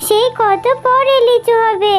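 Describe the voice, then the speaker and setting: A woman's voice: extremely high pitch, slow. A woman voicing an innocent, eager little fox girl in a children's cartoon, with a childlike, pleading, chatty way of talking. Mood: curious, impatient. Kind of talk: Bengali children's cartoon voice acting. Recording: music playing behind the voice.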